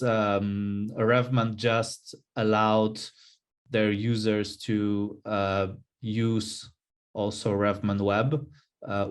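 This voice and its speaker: Middle-aged man, slightly low voice, slow, halting, explanatory, online panel answer. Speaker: webinar panelist. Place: indoors, on a video call.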